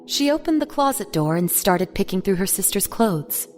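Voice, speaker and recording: A woman's voice: medium pitch, big pitch swings, normal speed, faint music behind. A woman reading scripted narration for an audio drama.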